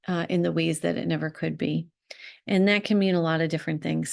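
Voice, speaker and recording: A woman speaking off the cuff as a spiritual self-healing guide, reflective and halting, pausing often as she thinks. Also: lowish voice, gravelly. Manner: halting, thoughtful, with pauses. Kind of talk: unscripted reflective talk to viewers.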